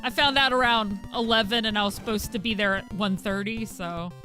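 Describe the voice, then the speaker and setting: Husky-voiced woman streamer, bright and chatty. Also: mid-range voice, fairly even intonation, brisk, soft background music. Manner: chatty, thanks viewers, frequent fillers and restarts. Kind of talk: casual chat while gaming.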